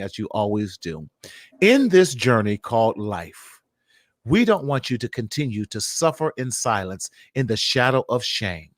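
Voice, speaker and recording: Male podcast host, mid-pitched and dryly recorded, affectionate and uplifting with online viewers. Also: dry recording, clean studio sound. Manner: affectionate, encouraging. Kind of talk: warm motivational talk to online viewers.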